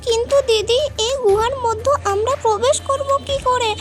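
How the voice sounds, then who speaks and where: A woman's voice: very high-pitched, steady tone, normal speed, over loud music. A woman voicing a timid small boy in a fairy-tale cartoon, with an anxious, childlike way of talking. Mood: fearful. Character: timid little brother, a small village boy.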